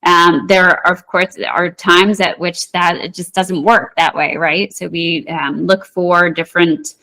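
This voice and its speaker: A woman market analyst, a guest in an interview, explaining price charts in a measured way with frequent ums and restarts. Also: fairly low pitch, fairly even intonation, pushed and bright, rough recording.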